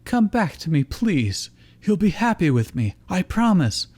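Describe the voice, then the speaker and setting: A heartbroken young girl acted out by a man, in a raised, gentle pitch. She pleads softly and earnestly, like a storybook character begging a friend. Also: big pitch swings, measured pace, exaggerated voice. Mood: pleading. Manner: soft, pleading.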